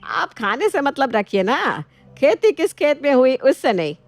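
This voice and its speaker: Shrewd older mother-in-law, performed by a woman: commanding, scolding, fairly high voice, domestic tale with music underneath. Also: sing-song, measured pace. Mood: curt.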